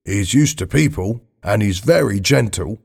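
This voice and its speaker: Narrator doing a hopeful, kindly fellow, bit higher than his own voice, rough-edged. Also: expressive, normal speed, a little mellow, exaggerated voice. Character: soft-hearted man, eager and hopeful.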